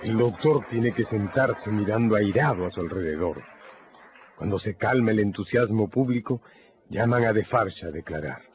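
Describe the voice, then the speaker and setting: A man's voice: lowish voice, lively intonation, moderate pace, noisy background. A man narrating a scripted radio drama as a dramatic storyteller. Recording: dull radio recording with music.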